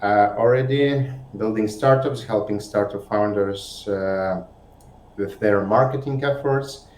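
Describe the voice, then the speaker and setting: Man from Lithuania, halting, very slow, echoey room. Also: lowish voice, a little mellow. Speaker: webinar participant. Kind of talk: self-introduction on a video call. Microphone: video call.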